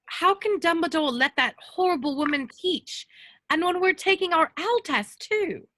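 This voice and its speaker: A woman's voice: very high voice, lively intonation, moderate pace, sharp tone, dry recording, exaggerated voice. A woman playing an earnest, worried, clever teenage schoolgirl with an insistent manner in an amateur script read. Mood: indignant.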